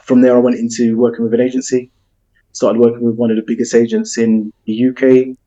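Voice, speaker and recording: A man with a South London accent talks through his career over a video call, his delivery almost entirely flat and monotone. His voice is dark and mellow, and he rambles along easily with plenty of ums and you-knows. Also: medium pitch, brisk, dull audio. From England. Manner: relaxed, rambling, many "um" and "you know". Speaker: football agent. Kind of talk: casual interview answers.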